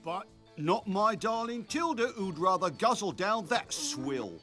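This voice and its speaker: A man's voice: high-pitched, very animated, a little slow, bright, forceful tone, soft background music, full character voice. A man playing a good-hearted middle-aged English father in a cartoon, with a working-class English accent and a flustered, colloquial manner. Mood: friendly. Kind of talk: animated cartoon acting.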